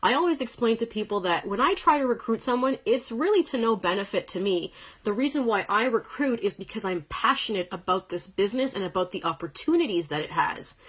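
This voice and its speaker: A woman's voice: medium pitch, lively intonation, a little fast, a bit bright, husky. A woman in her thirties from Canada, talking casually from a corner of her living room in a livestream, upbeat and chatty, greeting commenters by name and sharing her story as a sales presenter. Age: 30s. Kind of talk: casual livestream talk to viewers.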